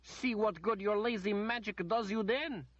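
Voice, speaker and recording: A man voicing a haughty, shrill noblewoman who shrieks and whines petulantly. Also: moderate pace, no echo, highs cut off, natural voice. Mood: imperious.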